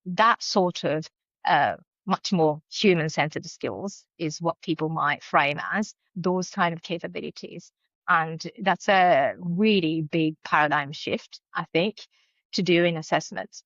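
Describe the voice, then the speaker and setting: A woman with a Japanese accent, a university dean speaking as a podcast guest in a thoughtful, measured, academic way. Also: lowish voice, fairly bright tone, dry recording, highs cut off. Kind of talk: podcast interview.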